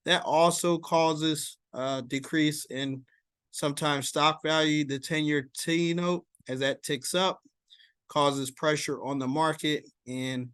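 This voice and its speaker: A man giving informal market commentary as a stock vlogger, explaining in a halting way and checking in as he goes. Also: on the high side, a bit bright, clean tone, dry recording, clean studio sound.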